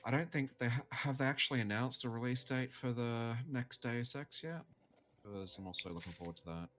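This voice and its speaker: A young Australian man chatting casually while streaming a game, relaxed and laughing easily, with frequent ums. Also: medium pitch, brisk. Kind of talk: casual chat while gaming.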